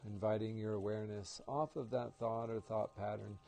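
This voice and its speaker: A man leading a guided meditation as an instructor, speaking in a calm, gentle and soothing manner. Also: on the low side, moderate pace, vocal fry, a little hiss or hum.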